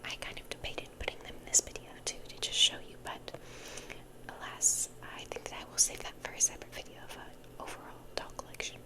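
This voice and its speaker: A young woman hosting ASMR, rambling casually in a whisper and repeating words and phrases for effect. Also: a little fast. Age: young adult. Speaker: ASMR video host.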